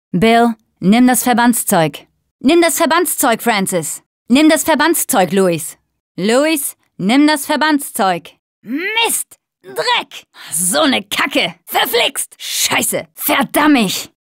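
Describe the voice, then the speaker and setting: A tough young woman fighting through a zombie video game. Her voice is high and swings widely in pitch. She speaks in short, slangy, unhurried bursts, expressive and casual. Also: no echo, clean studio sound, full character voice. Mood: annoyed. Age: young adult.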